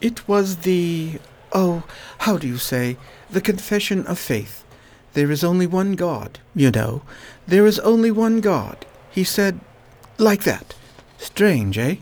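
An actor playing a grave male attendant at a deathbed who speaks halting, foreign-tinged English in a radio drama. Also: mid-range voice, big pitch swings, a little mellow. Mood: somber.

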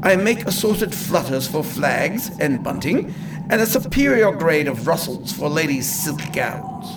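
A man's voice: on the high side, very animated, measured pace, distant mic, background noise. A man playing an eccentric, chatty inventor and salesman in a radio play, courteous and theatrically proud. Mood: proud, salesmanlike. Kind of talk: amateur radio play acting.

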